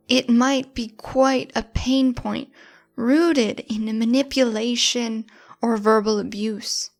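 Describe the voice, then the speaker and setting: A woman narrating a guided meditation in a gentle, encouraging, informal manner. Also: a little high, creaky. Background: music.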